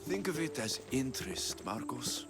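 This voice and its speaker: A man's voice: medium pitch, sing-song, normal speed, loud music behind the voice. A man playing a tough young Greek mercenary in a video game, blunt, dry and exasperated. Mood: wry, firm. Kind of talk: cinematic video game dialogue acting.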